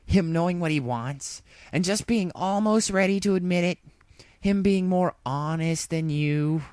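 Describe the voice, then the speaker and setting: A man's voice: sits high, unhurried, darkish tone, smooth, dry recording, pitched above the performer's own voice. A man voicing a brash young flying mare in a storybook reading, cocky and teasing. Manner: teasing, self-assured.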